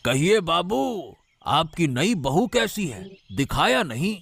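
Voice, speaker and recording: A man voicing a nosy, meddlesome male neighbor in an animated family story, speaking in an insinuating way. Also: on the high side, vocal fry, husky, dry recording, full character voice. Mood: prying. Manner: nosy, insinuating, drawn-out delivery. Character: gossiping meddlesome male neighbor, middle-aged.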